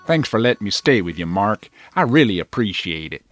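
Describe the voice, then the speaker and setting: A man's voice: fairly low pitch, a little fast, soft background music. A man playing a stubborn, ambitious estranged husband in an audio drama, earnest and keen to justify himself. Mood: resigned. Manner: earnest, animated, self-justifying.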